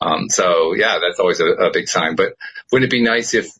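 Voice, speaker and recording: A man hosting a podcast, talking in a measured, reflective way and chuckling often. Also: low voice, fairly bright tone, husky. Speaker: podcast host. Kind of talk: casual chat.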